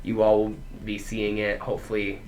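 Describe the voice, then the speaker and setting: A young man with a low voice co-hosts a casual sports podcast, chatting his way through one news item after another. His voice is congested from a head cold, and there is a faint hiss behind him. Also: monotone, normal speed, a little mellow, poor audio. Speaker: podcast co-host.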